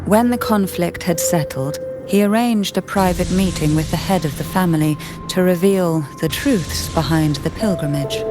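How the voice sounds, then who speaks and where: A woman voicing a poised, secretive noblewoman in a video game story, speaking in a composed, refined and deliberate way. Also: on the low side, close to an everyday voice. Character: poised, secretive noblewoman of an old disgraced family. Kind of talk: video game story voice acting, measured exposition. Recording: game music behind the voice.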